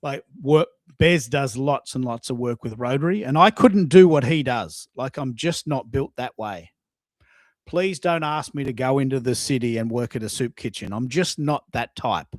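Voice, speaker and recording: A man's voice: mid-range voice, normal speed, no room sound, clean studio sound. A man hosting a casual chat in an informal, self-deprecating way, often restarting his sentences. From Australia. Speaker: host.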